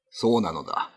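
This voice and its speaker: A man's voice: deep voice, a bit bright, husky, voice pushed down, full character voice. A man playing a troubled, resolute Ryukyuan king in a radio drama, speaking in stately, archaic and commanding phrases. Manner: stately, archaic, imperious speech.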